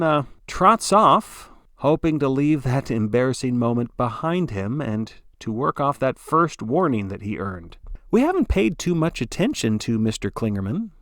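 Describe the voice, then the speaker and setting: A grandiose male play-by-play broadcaster from a dystopian audio story, acted out by a man. He is reverent and excitable, with a very lively, mobile pitch. He takes his time over the words for theatrical weight. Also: dry recording, clean studio sound, close to an everyday voice. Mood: amused, brisk. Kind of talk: play-by-play sports commentary.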